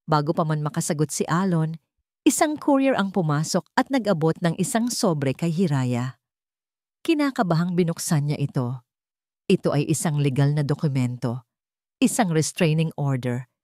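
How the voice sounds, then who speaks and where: A woman's voice: deep voice, expressive, moderate pace, a little mellow, dry recording, clean studio sound. A woman with a Filipino accent, narrating a scripted love story in a dramatic storytelling style.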